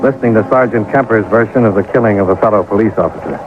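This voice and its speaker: An American actor playing a brisk, clipped insurance investigator in a 1950s radio detective drama. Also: male voice, on the low side, lightly acted. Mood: matter-of-fact. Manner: clipped, matter-of-fact. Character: brisk hard-boiled male insurance investigator. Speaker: narrator and lead investigator. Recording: tinny old radio recording, music behind.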